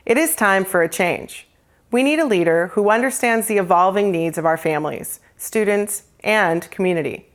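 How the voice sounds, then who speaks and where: A woman's voice: mid-range voice, animated, moderate pace. A woman delivering a prepared campaign statement as a school board candidate.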